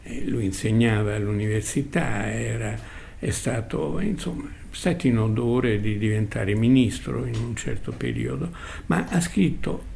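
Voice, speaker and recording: An older man reminiscing as a radio host, speaking in a halting, reflective way with repetitions and long hesitations. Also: mid-range voice, lively intonation, creaky, darkish tone, a little hiss or hum. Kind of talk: spoken reminiscence, literary commentary.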